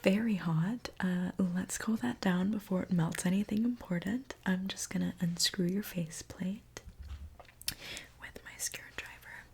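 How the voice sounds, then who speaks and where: A woman whispering softly in an ASMR roleplay as a friendly, caring mechanic, with a gentle, soothing manner. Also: on the low side, a little hiss or hum. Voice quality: breathy soft whisper. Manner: gentle, unhurried, soothing reassurance. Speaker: ASMR performer playing a robot mechanic.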